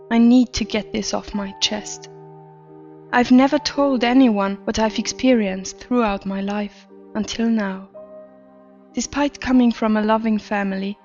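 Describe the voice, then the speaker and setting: A woman playing a troubled adult narrator confessing a twisted, attention-starved childhood in a deliberate horror story reading. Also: a little high, darkish tone, dull audio. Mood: confessional, bitter. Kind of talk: scripted first-person horror story narration. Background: background music.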